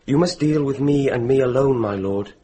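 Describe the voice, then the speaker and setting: Actor as husky, defiant young medieval man. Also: mid-range voice, moderate pace, dark tone, natural voice. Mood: pleading resolve. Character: defiant, self-sacrificing young man shielding his cousin. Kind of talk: radio drama acting.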